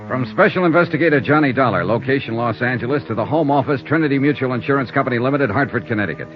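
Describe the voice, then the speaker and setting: A man's voice: medium pitch, very fast, close to an everyday voice. An actor playing a terse, hard-boiled insurance investigator who narrates his case in an old radio detective show. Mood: narrating. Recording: dull old radio broadcast with faint music.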